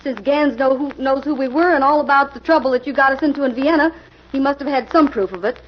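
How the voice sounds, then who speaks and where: An actress playing a scheming, nervous fake spirit medium in a theatrical, emphatic 1940s radio style. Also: female voice, high voice, fast, close to an everyday voice. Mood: urgent, resolute. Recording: muffled, noisy old radio recording.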